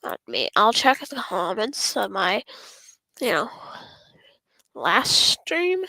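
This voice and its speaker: Hoarse female streamer, bright and lively but unhurried, rambling and apologetic. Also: mid-range voice, no room sound, poor audio. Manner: rambling, apologetic, self-interrupting. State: hoarse, voice going raspy. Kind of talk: casual gameplay stream chat.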